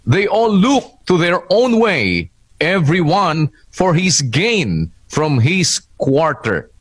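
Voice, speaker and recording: A man reading Bible verses aloud in a measured way during a sermon. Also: fairly high pitch, very animated, slightly rough. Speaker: Bible reader.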